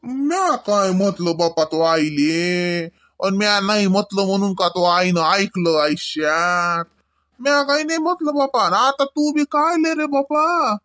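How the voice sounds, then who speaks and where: Man playing a high-voiced, teasing old village husband. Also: bright, forceful tone. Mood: grumbling, teasing. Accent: Varhadi Marathi from Vidarbha. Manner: folksy, rambling, mock-complaining. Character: teasing, playful older village husband and father. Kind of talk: comedy cartoon dialogue.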